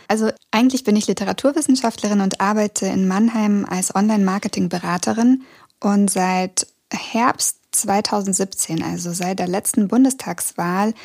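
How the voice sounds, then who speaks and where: A woman in her thirties from Kazakhstan, co-hosting a podcast and putting friendly, lively questions to her co-host. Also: mid-range voice, vocal fry. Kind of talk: podcast conversation, asking questions.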